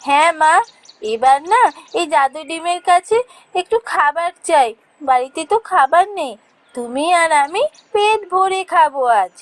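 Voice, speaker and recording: A woman's voice: extremely high pitch, unhurried, dry recording, pitched above the performer's own voice. A woman voicing a cheerful young village girl who talks in an eager, childlike way. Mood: excited.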